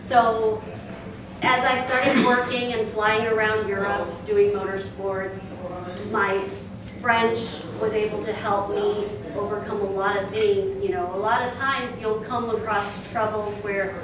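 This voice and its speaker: A woman's voice: mid-range voice, level tone, unhurried, a crowd in the background. A young woman from Singapore giving a chatty talk to a club audience, with frequent fillers. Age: young adult. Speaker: speaker. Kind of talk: presentation to a club. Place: meeting room. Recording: echoey room, distant mic, noisy.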